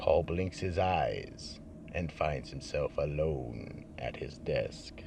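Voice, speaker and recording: A man playing a brooding vault keeper, narrating a horror radio drama in a slow, ominous, theatrical manner. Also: a bass voice, animated, vocal fry, noisy background, exaggerated voice. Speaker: horror anthology host and narrator.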